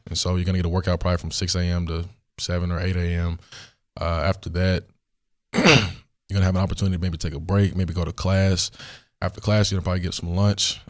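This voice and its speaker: A young man with a hoarse, worn voice, hosting a solo question-and-answer podcast in a studio, talking in a relaxed, rambling way with many fillers. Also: deep voice. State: hoarse, recovering voice. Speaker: podcast host.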